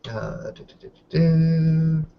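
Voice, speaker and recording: A man's voice: a little high, slow pace, gravelly, muffled recording. A man playing in a tabletop roleplaying game, improvising narration of a character's actions in the third person.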